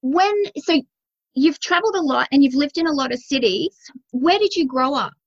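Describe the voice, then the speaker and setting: A woman's voice: sits high, animated, normal speed. A woman podcast host, chatting in an effusive, enthusiastic way. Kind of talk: conversational interview. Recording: slightly dull audio.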